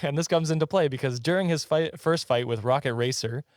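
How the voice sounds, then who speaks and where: A man with a slightly high voice tells comic stories as a casual podcast co-host. He trips over his words and giggles as he goes, swearing freely. The sound is close and dry. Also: fairly quick, no echo. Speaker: podcast co-host telling the story. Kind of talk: casual comedic storytelling.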